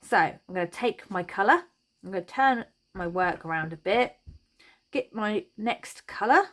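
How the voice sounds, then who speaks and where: A woman hosting a crochet tutorial, chatty and reassuring as she talks through each step, her voice a little croaky. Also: mid-range voice, expressive, measured pace, a bit bright. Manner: chatty and reassuring, thinks aloud while working. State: slightly croaky voice.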